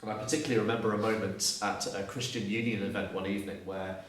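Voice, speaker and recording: Man, southern english accent, earnest reflective testimony, low voice, faint hiss. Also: steady tone, quick pace, heavy reverb, recorded from a distance. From Surrey, southeast England. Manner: earnest, reflective, with frequent restarts. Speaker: guest speaker. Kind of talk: personal testimony talk.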